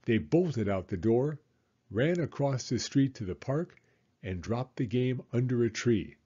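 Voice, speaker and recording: A man reading a children's storybook as an expressive, dramatic narrator. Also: medium pitch, a little slow, slightly rough, dull audio.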